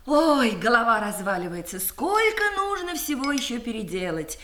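A busy mother fretting in a children's audio drama. Her voice is fairly high and bright, in a roomy, echoing space. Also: big pitch swings, normal speed, slight background noise. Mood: harried. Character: busy, harried mother in the morning. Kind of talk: children's audio play acting.

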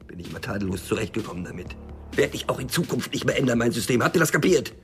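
An actor playing a stubborn, wary small-time drug dealer and loner in a radio crime drama, curt, dry and cynical. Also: male voice, mid-range voice, sing-song, fast, faint music behind, lightly acted. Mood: defiant.

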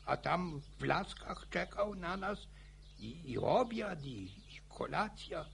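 An actor playing an old village grandfather in a radio play, spinning boastful war yarns with a gravelly, stammering, chuckling voice. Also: fairly high pitch, very animated, slow pace, fairly bright tone, slight background noise, full character voice. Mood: boastful reminiscing. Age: older. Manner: chuckling folksy yarn-spinning. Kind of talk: radio drama acting.

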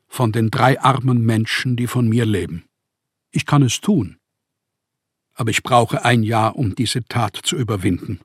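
Slow, husky, despairing man. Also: mid-range voice, no room sound, clean studio sound. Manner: weary, heavy, deliberate literary diction. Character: despairing, exhausted, ailing male writer in exile. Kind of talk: radio play letter reading.

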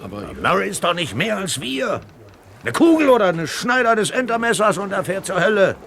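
A man's voice: high voice, big pitch swings, fairly quick, gravelly, fairly bright tone, a little hiss or hum, full character voice. A man playing a brash, persuasive pirate in a German radio play, goading his shipmates with emphatic rhetorical questions. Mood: goading, eager.

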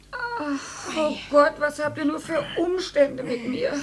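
A woman playing a long-suffering, meekly apologetic dying mother in a dark comic radio play, with plaintive sighs. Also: sits high, big pitch swings, slow pace, a crowd in the background, heavily acted. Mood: weary, fading. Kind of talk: German radio play, dark comedy acting.

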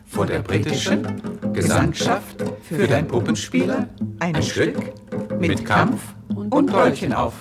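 An actor in a radio play voicing a theatrical narrator who declaims the scene like a ballad. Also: male voice, medium pitch, very animated, takes it slowly, creaky, full character voice. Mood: declamatory. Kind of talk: dramatic scripted narration. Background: plucked guitar music.

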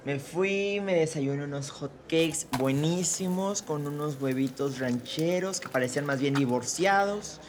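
Guy doing a lazy court clerk, high voice, mexican accent, sarcastic. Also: unhurried, hardly any pauses, background noise, lightly acted. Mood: cheeky. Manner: sarcastic and grumbling. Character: lazy, cheeky male court secretary. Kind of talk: comedy radio drama.

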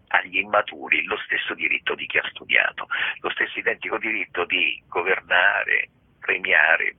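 A man's voice: low voice, husky, dry recording. A man explaining things conversationally in a radio interview, in a discursive, emphatic way with rhetorical questions and repetition. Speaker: theatre practitioner being interviewed. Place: phone call into a radio programme. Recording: muffled, thin telephone line on radio.

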